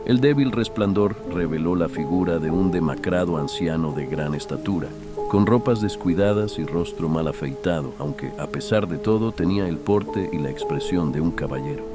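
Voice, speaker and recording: A man narrating a literary horror story in a solemn, measured manner. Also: deep voice, animated, over loud music. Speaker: audiobook narrator.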